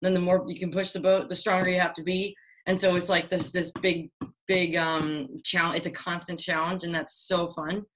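Young adult woman, slightly low voice, casual and encouraging, video-call sound. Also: flat delivery, moderate pace, a bit bright, poor audio. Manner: upbeat, chatty, encouraging. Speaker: Olympic sailor answering youth questions. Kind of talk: casual online Q&A.

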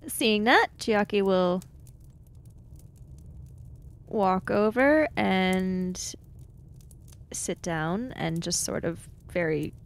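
Woman game player, gravelly edge, slow delivery, improvised role-play storytelling. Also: mid-range voice.

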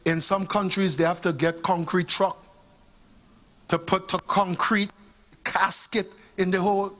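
A middle-aged man with a Vincentian accent preaching a sermon in a church, speaking into a handheld microphone, swinging from hushed appeals to loud exclamations. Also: high voice, little pitch movement, unhurried. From Saint Vincent and the Grenadines. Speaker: pastor. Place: church sanctuary stage.

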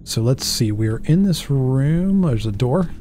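A man's voice: medium pitch, expressive, a little slow, over music. A man in his thirties giving casual let's play commentary, thinking aloud and asking himself questions as he goes. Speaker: gaming YouTuber. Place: indoor home setup.

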